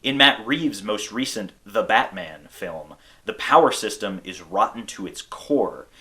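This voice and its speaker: American man, lowish bright voice, scripted video essay narration, host. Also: lively intonation, a little slow. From United States.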